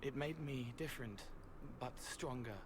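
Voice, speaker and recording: An actor playing a gentle, haunted young male spirit in a video game, speaking earnestly in short, halting phrases. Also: medium pitch, background noise, lightly acted. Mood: wistful, earnest. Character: gentle, haunted young spirit-man who helps the hurting.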